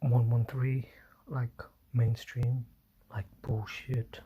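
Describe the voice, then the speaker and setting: Solo male streamer, hushed half-whispered delivery, mellow tone, faint hiss behind. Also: level tone, slow pace, dull audio. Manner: talking low, often whispering, with apologies and restarts. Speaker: livestream host. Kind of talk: solo livestream commentary. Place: indoors at home, quiet room. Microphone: phone.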